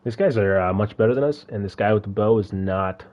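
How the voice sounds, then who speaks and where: A man's voice: on the low side, brisk, muffled recording. A man from India, streaming a game and chatting casually, laughing often and repeating words as he talks. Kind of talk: casual gaming chat.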